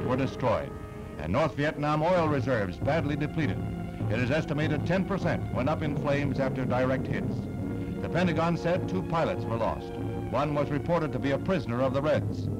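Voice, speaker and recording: A man's voice: fairly high pitch, low-quality recording. An American man reading scripted 1960s newsreel narration in a dramatic, declamatory manner. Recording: archival 1960s film soundtrack. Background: loud newsreel music.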